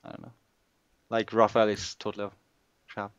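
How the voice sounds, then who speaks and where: Lively man chatting casually, slightly lo-fi. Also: lowish voice, a little fast, vocal fry, dry recording. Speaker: amateur voice actor. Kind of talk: casual chat between takes.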